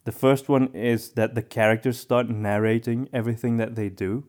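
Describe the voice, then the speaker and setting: A man's voice: medium pitch, little pitch movement, moderate pace, a little mellow. A man speaking as a podcast co-host in a casual discussion, giving his opinions as he thinks aloud.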